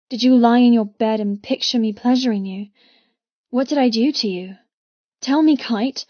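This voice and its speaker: A woman playing a wry, yearning young woman, reading intimate messages aloud in a measured audiobook narration. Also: fairly high pitch, no room sound, dull audio, natural voice.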